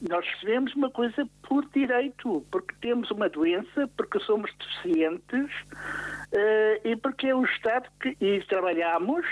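A man's voice: sits high, expressive, no echo. Older Lisbon man calling in by phone. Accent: European Portuguese. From Lisbon, Portugal. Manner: halting, earnest, frequent hesitations. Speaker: radio caller. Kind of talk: call-in radio complaint. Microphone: telephone. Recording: phone line.

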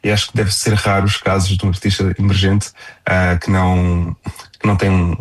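A man's voice: low-pitched, monotone, brisk. A young man with a European Portuguese accent, chatting casually as a singer-songwriter in an interview.

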